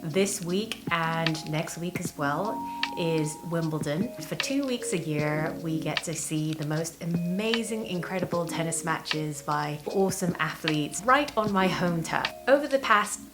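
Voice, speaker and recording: Low-pitched woman vlogger over loud music. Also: lively intonation, a little slow. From Hong Kong. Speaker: vlog host. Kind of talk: chatty personal storytelling to camera. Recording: voice over prominent background music.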